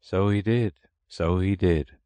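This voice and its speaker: A man plays a blunt, bossy Gryphon from a children's storybook. The beast talks in rough, uneducated turns of phrase and scolds impatiently, its pitch jumping about with emphasis. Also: very animated, a little slow, a little mellow, no room sound, full character voice. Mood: gruffly reminiscing. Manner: blunt, rustic working-class diction. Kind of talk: storybook character reading.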